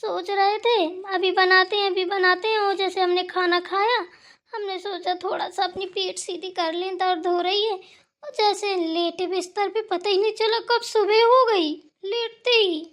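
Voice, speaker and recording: Woman acting a young daughter-in-law, extremely high bright voice, meek, apologetic, excuse-making, village family cartoon. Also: steady tone, moderate pace. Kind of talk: Hindi family cartoon dialogue.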